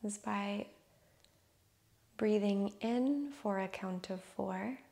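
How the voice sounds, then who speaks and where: A woman leading a guided breathing meditation, counting breaths softly and slipping into whispers. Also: medium pitch, vocal fry. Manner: slow, soft, soothing counting, drifting into whispers.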